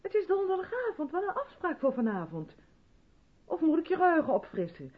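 Radio drama, female role: strict, insistent rehearsal leader, persuasive and pointed, very expressive intonation, muffled vintage sound. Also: very high voice, fairly quick. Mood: reproachful, pointed. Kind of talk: 1970s Dutch radio drama acting.

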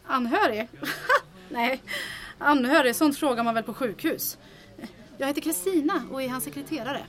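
A woman playing a brisk, agitated secretary who speaks directly and demandingly in an audio drama. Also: high voice, a bit bright. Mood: brisk, incredulous. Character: brisk, impatient female secretary, sharp and agitated.

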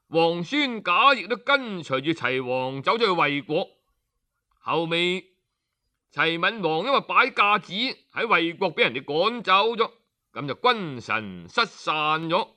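A man narrating a historical tale in traditional oral storytelling style, with a measured, dramatic delivery. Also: fairly high pitch, very animated, pushed and bright.

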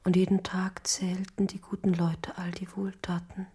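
An actress playing a sensitive, defensive young granddaughter in a radio drama, at times soft and breathy. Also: female voice, lowish voice, monotone, normal speed, natural voice. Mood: hushed, ominous.

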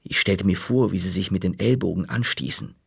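A man narrating a children's story in a measured, dry-humored way. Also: deep voice, gravelly, slightly rough, no echo.

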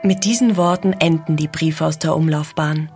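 Woman, low voice, narrator, scripted documentary reading, faint background music. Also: fairly even intonation, normal speed, darkish tone.

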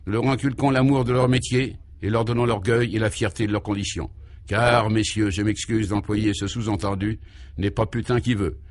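A man's voice: lowish voice, steady tone, moderate pace, natural voice. Man playing a grand, nostalgic courtesan madam. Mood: proud, dignified. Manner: theatrical, genteel, self-important.

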